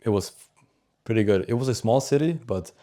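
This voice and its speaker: A man's voice: lowish voice, brisk, mellow. A young man from Austria chatting casually as a podcast guest. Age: teens to young adult. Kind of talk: casual interview chat.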